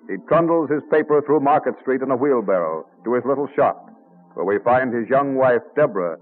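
A man's voice: medium pitch, close to an everyday voice. A man narrating a historical radio play in an earnest, scripted storytelling style. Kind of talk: old-time radio drama scripted narration. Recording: muffled old radio broadcast, music behind.

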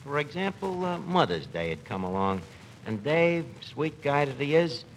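An actor playing a cocky, gullible New York tavern manager in a radio comedy, with a New York accent and mangled pompous phrasing, on an old broadcast recording. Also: male voice, on the high side, very animated, normal speed, a little hiss or hum, low-quality recording. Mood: earnest, defensive. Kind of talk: 1940s radio comedy acting.

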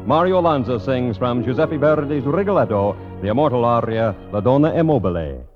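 A man's voice: mid-range voice, lively intonation, brisk. An American man announcing a 1950s radio show from a script in a polished, formal manner. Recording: dull vintage radio broadcast with music behind. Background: music.

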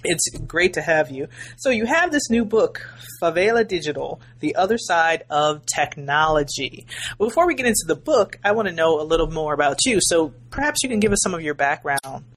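A woman's voice: low voice, very animated, fairly quick. A woman speaking as a podcast host, introducing and interviewing. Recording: clear background noise.

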